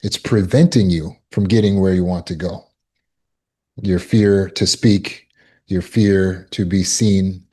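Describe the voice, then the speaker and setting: Male self-help coach, very deep voice, slow and deliberate, repeats affirmations. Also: warm tone. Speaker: trauma recovery coach. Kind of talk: self-help teaching talk.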